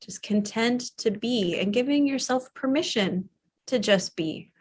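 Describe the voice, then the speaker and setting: A woman teaching a yoga nidra class, talking softly and soothingly with long pauses as she guides a guided relaxation. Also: a little high, husky. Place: live online or studio class.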